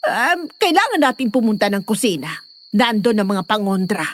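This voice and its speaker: A woman playing an excitable, superstitious, bossy nanny in a Filipino horror audio drama. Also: medium pitch, normal speed, lightly acted. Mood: urgent, bossy. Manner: exclaiming, bossy, urgent.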